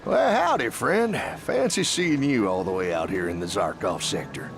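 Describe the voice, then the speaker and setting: A man's voice: fairly low pitch, animated, moderate pace, gravelly. An actor playing a chatty, friendly frontier trader in a video game, with a rural Southern American drawl and a salesman's folksy manner. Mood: cheerful, folksy. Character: folksy friendly male frontier trader who bargains for trophies. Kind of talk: animated game character acting. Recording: music behind the voice.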